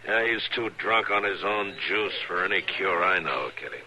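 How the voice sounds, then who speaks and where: An actor playing a tough, level-headed frontier marshal in an old-time radio western, plain-spoken and firm. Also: male voice, low-pitched, normal speed, sharp tone. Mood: dry, watchful. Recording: hissy old radio drama recording.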